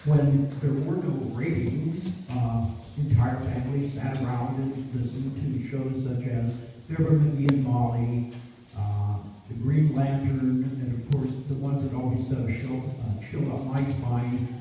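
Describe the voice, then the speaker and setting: An older man introducing a stage show informally, folksy, rambling and halting. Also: medium pitch, steady tone, warm tone. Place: college stage in a large hall. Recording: echoey hall from far off, faint noise.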